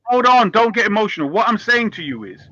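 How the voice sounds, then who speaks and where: A man's voice: sits high, sing-song, fast, crowd noise. A man hosting a heated casual debate, blunt and provocative, pressing his points with "bruv". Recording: muffled stream with faint noise.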